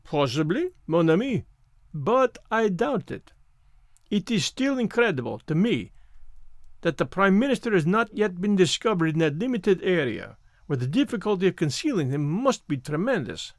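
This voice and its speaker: Man playing a fastidious, theatrical French-accented little detective. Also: sits high, very animated, no room sound, clean studio sound. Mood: doubtful. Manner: theatrical, deliberate delivery with formal phrasing. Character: fastidious little foreign male detective, self-assured and dramatic.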